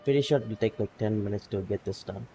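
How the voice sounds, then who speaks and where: A man's voice: on the low side, fast, dark tone, faint music behind. A man streaming a video game, chatting casually to his viewers and laughing often as he plays. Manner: laid-back, chatty, laughs often, greets chat by name. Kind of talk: casual gameplay stream chat.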